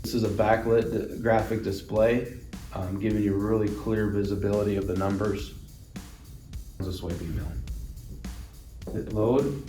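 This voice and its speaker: Low-voiced man demonstrating equipment, roomy sound. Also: level tone, measured pace, long pauses, mellow. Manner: plain, step-by-step explaining. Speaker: product demonstrator. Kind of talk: instructional product demonstration. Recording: roomy echo, distant mic, music underneath.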